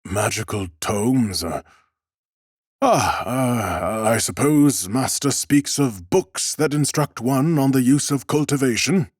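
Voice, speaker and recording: A man's voice: mid-range voice, animated, slow pace, slightly rough, no echo, studio quality. A man voicing a timid, humble bookseller in an audiobook, speaking with hesitant, very polite deference. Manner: hesitant, bowing politeness, stammering. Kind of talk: audiobook character acting.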